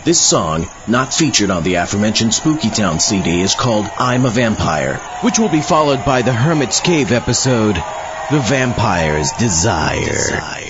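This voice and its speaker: A man's voice: on the low side, sing-song, moderate pace. A man hosting a horror podcast in vampire character. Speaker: podcast host. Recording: dull lo-fi with noticeable background noise.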